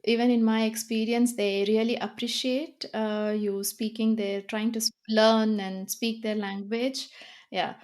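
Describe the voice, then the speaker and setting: A woman hosting a podcast interview, asking questions gently and adding short comments. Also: mid-range voice. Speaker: podcast host.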